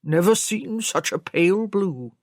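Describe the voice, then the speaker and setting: A woman's voice: mid-range voice, expressive, measured pace, a little mellow, no echo. A woman voicing a plain-spoken, frank male country servant in an audiobook. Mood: matter-of-fact. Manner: folksy, blunt.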